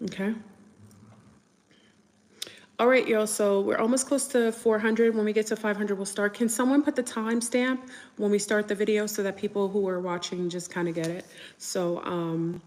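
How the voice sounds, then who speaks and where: Chatty New woman, reverberant room. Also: mid-range voice. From New York. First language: French. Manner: rambling, chatty, answers viewer comments. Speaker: livestream host. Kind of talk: casual livestream chat.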